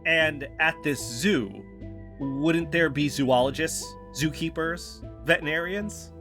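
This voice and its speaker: Bright, insistent man investigator over music acted. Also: a little high, heavily acted. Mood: leading. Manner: persuasive, deliberate. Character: Kyle, determined amateur investigator pitching plans. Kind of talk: audio drama dialogue.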